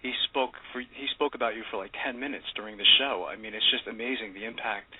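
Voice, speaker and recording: Fast, husky male radio interviewer. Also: fairly low pitch. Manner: effusive, agreeing interjections. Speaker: radio show host. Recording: narrow phone-like radio audio.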